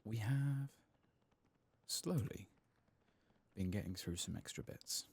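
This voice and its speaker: A man hosting a gaming video in a soft-spoken ASMR style, sometimes whispering, murmuring his thoughts unhurriedly as he plays. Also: on the low side, animated, breathy edge. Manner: murmured, unhurried musing to himself.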